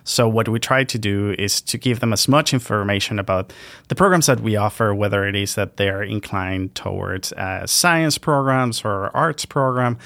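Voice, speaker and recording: A man from Venezuela working as a university recruiter, answering questions in a polite, measured way. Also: fairly low pitch, vocal fry, a bit bright, dry recording. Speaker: international recruitment officer. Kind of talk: guest answering interview questions.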